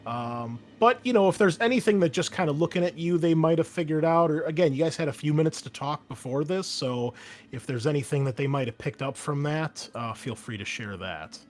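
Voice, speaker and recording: A man's voice: a little high, lively intonation, brisk. A man acting as game master, narrating and explaining casually and hesitantly to players.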